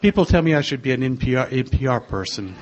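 An older man with a soft voice, sharing his own story in a gentle, wry way. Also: mid-range voice, expressive, fast, highs cut off, very noisy. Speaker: speaker sharing a recovery story. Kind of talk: personal testimony to a group.

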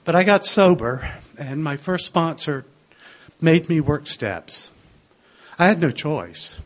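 Soft-voiced older man, wry storyteller. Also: a little high, animated, takes it slowly, lo-fi sound. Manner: gentle, wry storytelling with small laughs. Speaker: speaker sharing a recovery story. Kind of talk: personal testimony to a group.

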